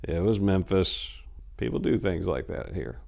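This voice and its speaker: A man's voice: deep voice, animated, measured pace, vocal fry, a little mellow. A man in his forties giving a dramatic reading of a short story into a lapel mic. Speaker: story reader.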